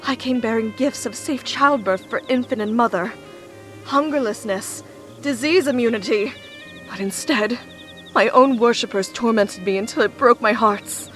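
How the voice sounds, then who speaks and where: Female actor as solemn ancient woodland deity for a visual novel; formal, grieving, measured delivery, music underneath. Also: on the high side, a bit bright, natural voice. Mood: sorrowful. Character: sorrowful ancient goddess of bloodthirsty dark woods. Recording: background music.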